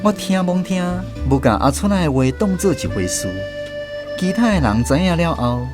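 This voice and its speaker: A man's voice: on the high side, lively intonation, normal speed, close to an everyday voice. A man with a Taiwanese accent playing a wry storyteller who recalls army days in radio drama narration, with music behind.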